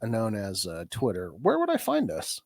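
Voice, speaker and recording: A man co-hosting a podcast in casual chat, a bit stuffed up from a cold, talking in halting bursts with many restarts and easy laughs. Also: on the low side, wildly swooping pitch, creaky, dry recording, studio quality. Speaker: podcast co-host.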